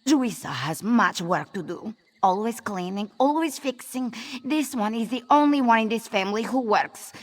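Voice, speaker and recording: A woman's voice: fairly high pitch, animated, normal speed, hardly any pauses. Woman voicing an exasperated, scolding cat woman. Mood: scolding, weary. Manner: scolding, long-suffering, speaks of herself in third person. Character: exasperated, hardworking cat woman, scolding older-sister type. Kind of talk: AI-voiced video game quest dialogue.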